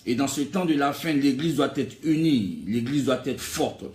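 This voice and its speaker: A man's voice: mid-range voice, animated, moderate pace, darkish tone. A man preaching an exhortatory sermon in an emphatic way, building on repeated rhetorical phrases. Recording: echoey, reverberant room.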